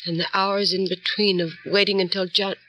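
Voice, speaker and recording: An actress playing a grieving, resolute widow, solemn and dramatic in an old radio crime drama. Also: female voice, medium pitch, little pitch movement, moderate pace, bright, forceful tone, poor audio. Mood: grief-stricken.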